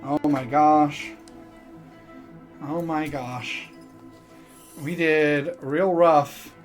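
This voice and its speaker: A man's voice: a little high, steady tone, very slow, soft background music. A man giving casual, self-deprecating and hesitant commentary as he streams a game.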